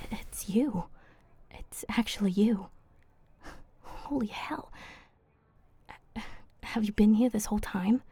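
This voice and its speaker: A woman voicing a flirty, teasing female vampire in an ASMR roleplay, soft and husky, speaking intimately and haltingly. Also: mid-range voice, full character voice. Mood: surprised, pleased.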